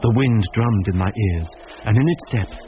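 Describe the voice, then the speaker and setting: An actor playing an observant, grave narrator with a British accent, telling a mystery in a radio drama. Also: male voice, fairly low pitch, moderate pace, natural voice. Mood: eerie, intent. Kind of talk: scripted first-person radio drama narration. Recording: muffled radio recording under music.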